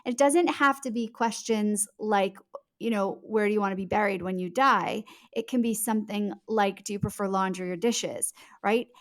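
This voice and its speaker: A woman's voice: mid-range voice, moderate pace. A woman speaking as a guest author, explaining in a conversational interview style, fluent and emphatic, addressing the listener directly.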